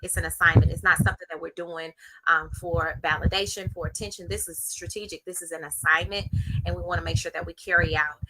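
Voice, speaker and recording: A woman's voice: fairly low pitch, steady tone, quick pace, noisy background. A woman hosting a conversational livestream interview, speaking emphatically and repeating phrases for stress. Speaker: live show host and interviewer.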